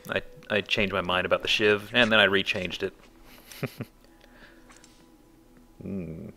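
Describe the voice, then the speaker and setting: Low, gravelly, forceful male game commentator. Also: fairly even intonation, takes it slowly. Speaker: let's play host. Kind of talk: casual gameplay commentary. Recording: commentary over faint game background noise.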